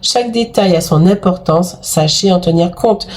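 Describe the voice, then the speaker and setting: A woman's voice: on the low side, normal speed, a little mellow. A woman with a cold giving a chatty, effusive tarot card reading to her viewers, full of exclamations and fillers. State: has a cold. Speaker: tarot and oracle card reader.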